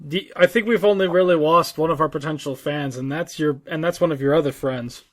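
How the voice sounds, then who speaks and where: Male podcast co-host, a little high in pitch, rambling, halting, sweary casual talker. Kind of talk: casual chat.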